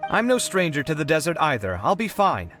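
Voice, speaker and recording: A man voicing a proud, touchy, dramatic young man in a video game, expressive and huffy. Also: fairly high pitch, a little fast, over music. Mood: defiant, recovering. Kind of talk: animated video game voice acting.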